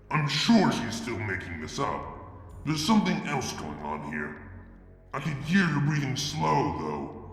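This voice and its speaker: A man reading a fan fiction story aloud, in a measured, expressive way. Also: mid-range voice. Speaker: fanfic reader. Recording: echoey room with music. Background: music.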